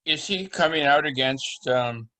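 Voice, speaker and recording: A man's voice: on the high side, measured pace, pushed and bright, poor audio. A man in a casual group discussion, putting forward questions and thoughts in a tentative way. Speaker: discussion participant asking questions.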